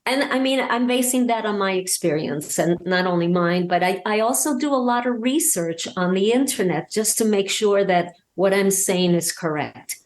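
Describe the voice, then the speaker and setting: A woman talking casually as an interview guest and telling anecdotes, emphatic and halting, often repeating words as she goes. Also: medium pitch. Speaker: podcast guest, audio drama creator and voice actor.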